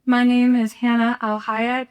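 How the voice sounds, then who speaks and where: A young American woman from Texas, a student speaker delivering a prepared speech in an earnest, deliberate way. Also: a little high, flat delivery, clear, resonant tone. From Houston, Texas, United States. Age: young adult.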